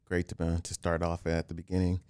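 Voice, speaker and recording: A man from Haiti, a real estate developer talking as a podcast guest in a calm, plain-spoken way, often restarting. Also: sits low, a little fast, clean tone, no room sound, studio quality. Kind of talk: casual interview.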